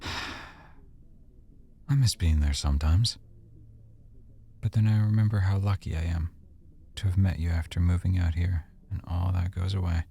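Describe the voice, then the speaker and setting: Man acting as affectionate boyfriend, very deep male voice, gravelly fry, soft-spoken, casual hesitant phrasing, intimate ASMR roleplay. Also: fairly even intonation, unhurried, pauses often, full character voice. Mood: reflective, tender.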